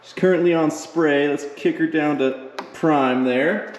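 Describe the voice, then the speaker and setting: A young man vlogging his home renovation in a casual, excited, slangy way, filmed on a handheld action camera. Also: fairly high pitch, measured pace. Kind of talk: casual how-to vlog. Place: large empty unfinished room indoors. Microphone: action camera held at arm's length. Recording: echoey bare room.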